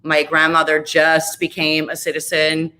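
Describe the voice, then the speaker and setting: Woman radio host in her forties, candid guest chat, slightly low pitch, bright forceful tone. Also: completely flat delivery, measured pace. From Chicago area, US. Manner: candid, rambling, self-deprecating. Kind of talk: casual interview chat.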